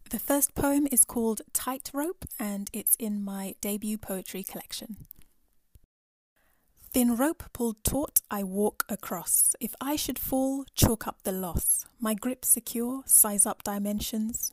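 A woman's voice: on the high side, a little mellow, no echo. A woman poet introducing and reading her own poems with rhythmic, distinct phrasing. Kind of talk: poetry reading with spoken introduction.

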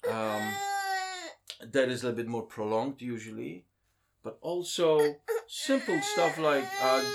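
A man from Poland talks as a guest in a relaxed interview. He is in his thirties, with a medium-pitched voice, and he talks hesitantly, full of ums and restarts. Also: noisy background. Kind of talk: casual interview.

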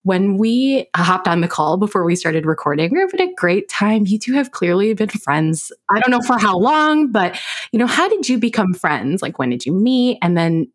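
A woman's voice: medium pitch, very animated, normal speed. A woman hosting a podcast, asking guests rambling, friendly questions. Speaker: podcast host. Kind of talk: casual interview chat.

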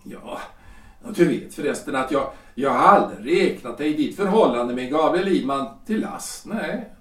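An actor playing a self-important, flustered lawyer-politician husband in his forties in a period audio drama, halting and repeating himself. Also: mid-range voice, expressive, recorded from a distance, close to an everyday voice. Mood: nervous, defensive. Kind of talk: period stage-play audio drama acting. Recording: roomy echo, off the mic.

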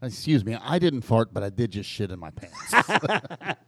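Gravelly, lively man bantering on a podcast. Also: mid-range voice, measured pace, no echo, studio quality. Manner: teasing, crude joking. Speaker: podcast co-host. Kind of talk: casual joking banter.

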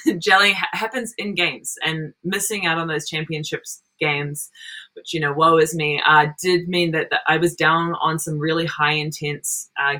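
A young woman with an Australian and New Zealand accent talks in a low, bright voice. She rambles through her points with fillers in a casual podcast chat. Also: rough recording. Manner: rambling, with frequent fillers and laughs. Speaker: roller derby jammer and captain.

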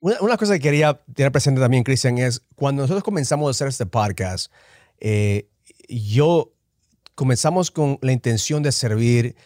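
A man, co-hosting a podcast casually, often halting and rephrasing as he talks. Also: mid-range voice, expressive, a little mellow, no echo, clean studio sound. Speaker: podcast co-host. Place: remote call.

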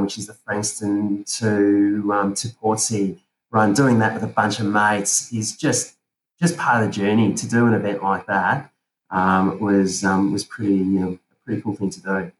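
A man's voice: lowish voice, level tone, normal speed. A man from South Africa, a podcast guest talking casually and hesitantly, with many fillers and restarts. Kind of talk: casual interview chat. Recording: roomy with faint ambient noise.

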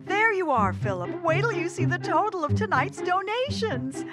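High-voiced, bustling, excitable female assistant in a radio play, very lively intonation. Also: normal speed. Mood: bright, then startled. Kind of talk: audio drama acting. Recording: music under the voice.

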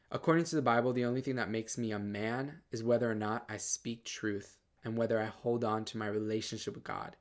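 Earnest soft-voiced man giving testimony. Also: medium pitch. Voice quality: soft, somewhat feminine-sounding voice. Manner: earnest, lots of fillers and repeated starts. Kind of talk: casual personal testimony.

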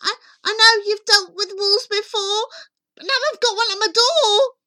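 A woman's voice: extremely high pitch, fairly even intonation, moderate pace, pushed and bright, voice pushed up. A woman voicing a frightened little male pig in a storybook tale, squealing and pleading in urgent, emphatic cries. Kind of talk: dramatised verse storytelling.

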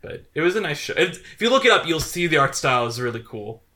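A man co-hosting a podcast, chatting casually about films in an animated, rambling way, often acting out quotes. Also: a little high, fast talker. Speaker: podcast co-host. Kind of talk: casual film chat.

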